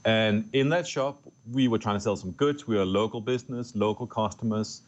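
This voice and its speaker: A man's voice: lowish voice, brisk, highs cut off. A middle-aged man with a Danish accent, a tech executive answering interview questions earnestly, often restarting his phrases. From Denmark. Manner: earnest, with frequent restarts and repeated words. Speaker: tech company executive guest.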